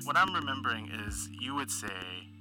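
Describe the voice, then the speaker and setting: A man from the United States hosting a radio show, narrating in a reflective, measured way and interviewing a guest. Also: mid-range voice, very animated, pushed and bright, low-quality recording. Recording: music bed under the voice.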